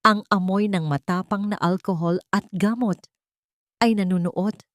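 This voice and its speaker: A woman narrating a dramatic audio story in a measured, scripted way. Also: mid-range voice, steady tone, dry recording, clean studio sound.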